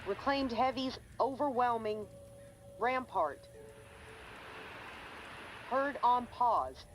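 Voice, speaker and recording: A man playing a cryptic radio broadcaster in a video game, reading coded bulletins in clipped, measured phrases. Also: very high voice, lively intonation, a little hiss or hum, full character voice. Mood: detached, cryptic.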